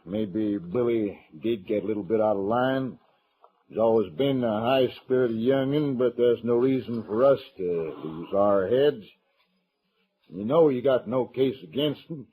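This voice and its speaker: A husky, wily old cattle baron. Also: male voice, mid-range voice, a little slow, mellow. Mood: cajoling. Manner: folksy, cajoling, threatening. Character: wily, powerful older cattle rancher, stubborn and bullying. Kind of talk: old-time western radio drama acting. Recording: muffled, narrow-band old radio recording.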